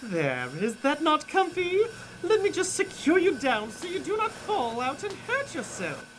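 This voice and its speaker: A woman's voice: high voice, very animated, a little slow, a little hiss or hum, poor audio. A woman playing a giddy, scheming male mad scientist in a comic radio play, with theatrical, stilted formal speech and a cloying, fiendish manner. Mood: cloying, menacing.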